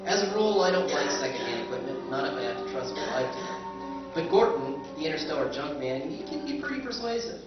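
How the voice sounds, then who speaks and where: A man's voice: fairly high pitch, very animated, a little fast, far from the mic, over loud music. A man playing a wry, rueful spaceship buyer who narrates his tale expressively in an old-time radio drama. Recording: echoey, dull stage recording from afar.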